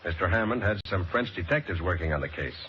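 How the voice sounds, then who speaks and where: An actor playing a sharp, methodical American private detective in a 1940s radio drama, speaking in an assured, deliberate way. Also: male voice, low-pitched, expressive. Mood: measured. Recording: muffled, noisy old radio recording.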